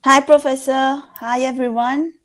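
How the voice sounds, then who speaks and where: Slow careful woman from mauritius, lots of uhs, on a class call. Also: high voice, steady tone, fairly bright tone. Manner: hesitant, many fillers. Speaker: university staff and student. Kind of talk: self-introduction. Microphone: video call.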